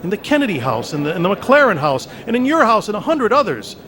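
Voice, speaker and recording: A man's voice: sits high, big pitch swings, fast talker, a crowd in the background. An actor playing an impulsive, earnest young man in a radio play, stammering and emphatic. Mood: urgent.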